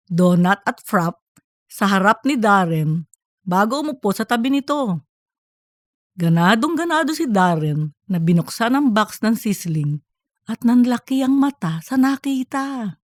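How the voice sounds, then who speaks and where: A woman reading scripted comedic fiction as an audiobook narrator, in a lively storytelling way. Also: medium pitch, unhurried, no echo, clean studio sound.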